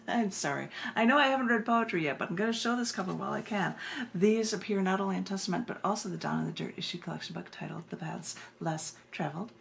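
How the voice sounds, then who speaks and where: American woman poet doing a reading, deep voice w lots of fry, expressive, bit of noise in the background. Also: fast talker. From United States. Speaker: poet reading her own work. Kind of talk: informal introductions and expressive poetry reading.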